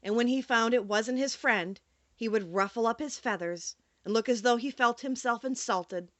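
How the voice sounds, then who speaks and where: A woman narrating a children's storybook in an expressive, dramatic storytelling manner. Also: a little high, normal speed, no echo. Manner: animated, storytelling delivery with dramatic emphasis. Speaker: audiobook narrator.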